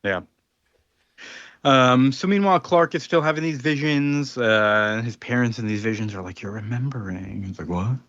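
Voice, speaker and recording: A man's voice: medium pitch, normal speed, a bit bright, no room sound, muffled recording. A man casually recapping a comic book plot on a podcast, rambling with filler words and little laughs. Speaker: podcast co-host.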